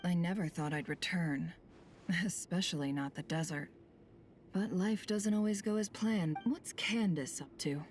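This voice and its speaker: A woman playing a sly, self-possessed boss in a video game, cool, dry and deliberate in manner. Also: on the low side, darkish tone, faint music behind, natural voice. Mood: cool, knowing.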